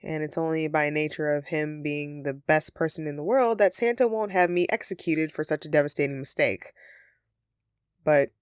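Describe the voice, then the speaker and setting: Woman acting as female Christmas elf, lowish pitch, sheepish, friendly, earnest, conversational roleplay, dry close sound. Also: smooth, dry recording. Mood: earnest, insistent. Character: flustered, friendly female Christmas elf, secretly living as roommate. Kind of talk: audio roleplay addressed to the listener.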